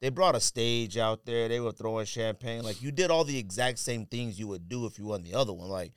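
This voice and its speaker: A man's voice: medium pitch, brisk, clean tone, dry recording. A man co-hosting a podcast in casual sports banter, slangy and opinionated with an exasperated, ribbing edge. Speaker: podcast co-host.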